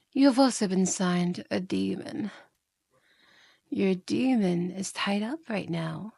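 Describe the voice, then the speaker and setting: A woman's voice: mid-range voice, vocal fry, dark tone, slightly rough, no echo, exaggerated voice. A woman playing a seductive, mocking yandere demon in an ASMR roleplay, coaxing and theatrical, often slipping into whispers.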